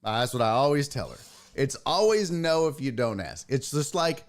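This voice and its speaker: A man's voice: medium pitch, very animated, normal speed. A man hosting a casual livestream chat, telling a joking story and reading out messages from chat. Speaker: streamer and sports talk host.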